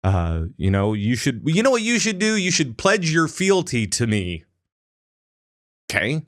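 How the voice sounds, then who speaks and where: A man co-hosting a casual gaming podcast, telling a story with lively acted-out lines. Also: medium pitch, measured pace, no echo, studio quality. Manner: animated storytelling, acts out characters' lines. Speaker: podcast co-host.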